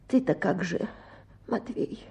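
A woman's voice: mid-range voice, slow pace, gravelly, dark tone, slightly rough, full character voice. An actress playing an anxious, devoted, sorrowful peasant woman in a Russian radio drama, with folksy, plaintive speech. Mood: worried.

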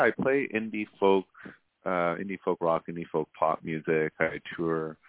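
Low-voiced man on a phone line. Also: no echo. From Canada. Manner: friendly, easygoing, uses fillers like "you know". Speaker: guest musician. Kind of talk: casual interview chat. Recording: dry, tinny phone-like line.

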